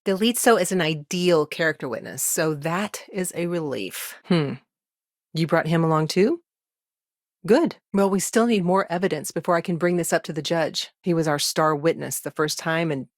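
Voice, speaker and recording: Actress as low-voiced, earnest frontier lawyer woman. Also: dry recording, studio quality, lightly acted. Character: earnest, overworked female frontier lawyer, dry-witted and principled. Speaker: video game character voice. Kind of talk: naturalistic video game dialogue acting.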